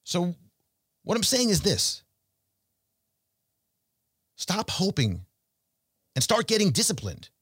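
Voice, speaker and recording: A man hosting a financial talk show, speaking conversationally and emphatically to listeners, with rhetorical questions and deliberate pauses. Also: sits high, wildly swooping pitch, a bit bright, husky, no room sound, studio quality. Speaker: financial show host and portfolio manager. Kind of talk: conversational investment commentary addressed to listeners.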